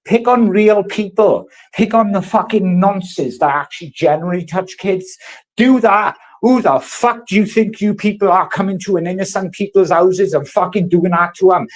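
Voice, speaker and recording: A man's voice: high voice, low-quality recording. A man in his thirties, from South Wales, ranting angrily to camera with constant swearing. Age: 30s. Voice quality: swears heavily, loud and confrontational. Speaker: vlogger. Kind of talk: angry rant to camera.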